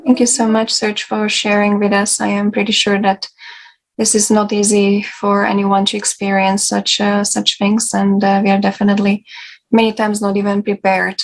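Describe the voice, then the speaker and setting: A woman hosts a formal discussion in a medium-pitched, even-toned voice. Her delivery moves haltingly from phrase to phrase. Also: poor audio. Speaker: host. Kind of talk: semi-prepared presenting.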